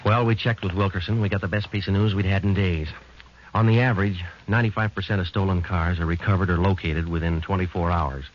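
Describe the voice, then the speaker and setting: Police sergeant male actor: American, very deep, clipped and deadpan, world-weary, vintage radio drama with noisy, dull sound. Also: quick pace, close to an everyday voice. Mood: matter-of-fact. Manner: deadpan, matter-of-fact. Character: terse, weary male police detective sergeant narrating his case. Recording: muffled, noisy old radio recording.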